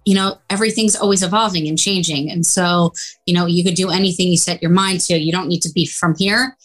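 A woman's voice: lowish voice, steady tone, a little fast. Upbeat young New woman. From New York City. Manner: upbeat, giggly, says "like" often. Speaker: real estate agent guest. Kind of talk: casual interview chat.

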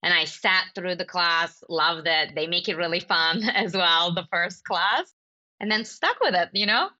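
A woman's voice: on the low side, measured pace, pushed and bright, lo-fi sound. A young woman from Turkey, whose first language is Turkish, answering as a podcast guest in a thoughtful way, with frequent fillers and restarts. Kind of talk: conversational interview answers.

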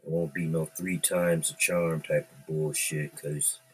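A middle-aged man talks on his own podcast in a very low, deep voice. He drawls slowly and loosely, swearing freely as he muses out loud. Faint room noise sits under the recording. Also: level tone, low-quality recording. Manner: slow, laid-back and profane, musing aloud. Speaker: podcast host. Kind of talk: casual rambling monologue.

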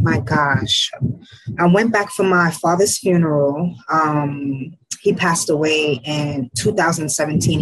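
A woman with a slightly husky voice, chatting casually as an interview guest. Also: low-pitched, fairly bright tone, rough recording. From Harlem, New York. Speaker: podcast guest, publishing CEO.

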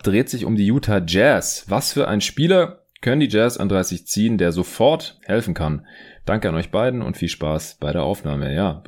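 A man's voice: fairly low pitch, darkish tone. A man hosting a casual sports podcast, chatting and reading out listener questions. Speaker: podcast host.

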